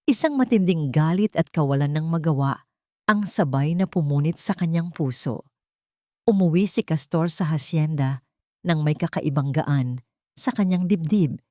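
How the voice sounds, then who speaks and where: A woman's voice: low-pitched, warm tone, dry recording, clean studio sound. A woman with a Filipino accent reading a dramatic romance story as a scripted narration.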